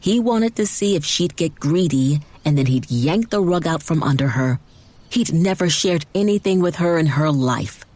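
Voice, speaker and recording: A woman reading dramatized fiction narration in a measured way with deliberate emphasis. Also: deep voice, expressive, darkish tone. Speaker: audiobook narrator.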